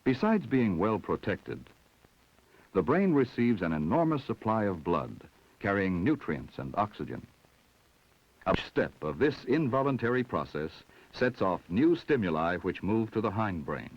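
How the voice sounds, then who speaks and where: A man giving measured, scripted narration for an educational film about the brain. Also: on the low side, sing-song. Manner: measured, explanatory. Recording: dull vintage 16mm film soundtrack.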